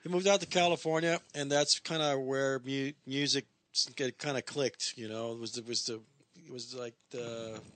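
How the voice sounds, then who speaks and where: A man in his fifties from Puerto Rico, a guitarist telling his story in a relaxed, rambling way with many restarts in a radio studio. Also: mid-range voice, moderate pace, dry recording. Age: 50s. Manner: rambling, easygoing, with frequent restarts. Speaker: guest musician. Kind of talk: casual chat. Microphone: studio microphone.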